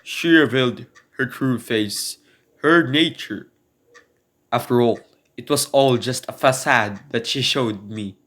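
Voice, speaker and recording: A man playing a desperate prisoner in an amateur radio drama, speaking earnestly and haltingly as he pleads his innocence. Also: mid-range voice, fairly bright tone. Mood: earnest, pleading.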